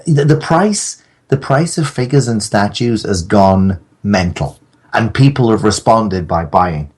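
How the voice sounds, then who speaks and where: A man's voice: lowish voice, lively intonation, unhurried, breathy edge. A middle-aged man chatting casually on a podcast, joking with mock-formal asides.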